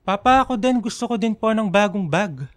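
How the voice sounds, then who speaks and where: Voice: medium pitch, lively intonation, moderate pace, dry recording, close to an everyday voice. A performer with a Filipino accent playing a playful, cocky schoolboy who teases and whines in a radio drama. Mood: teasing, whiny. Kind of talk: radio drama acting, casual banter. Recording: dry voice with music bed.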